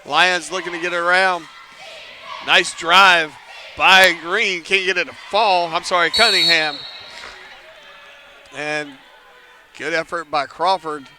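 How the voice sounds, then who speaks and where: A man calls a basketball game live from inside an arena with a high, ringing, very bright voice. He keeps up a chatty stream of play-by-play, cracks jokes about himself, and pushes up to near shouts when a shot drops. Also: fairly even intonation, slow, long pauses, slight background noise. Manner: lifts to near shouts on big plays. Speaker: play-by-play commentator.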